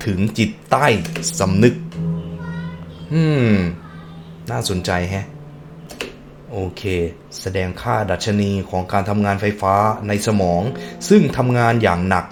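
A man's voice: fairly low pitch, takes it slowly, noisy background. A man hosting a reaction video, reading text aloud and breaking off into casual musing remarks and hums.

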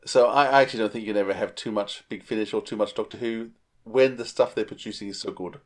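A man's voice: lowish voice, fairly even intonation, quick pace. A middle-aged man with an Australian accent, chatting casually as a podcast co-host on a video call. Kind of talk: casual chat.

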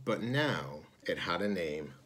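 A man's voice: low-pitched, big pitch swings, slow, background noise. A man, a teacher-librarian reading a children's storybook aloud. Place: small indoor room at home.

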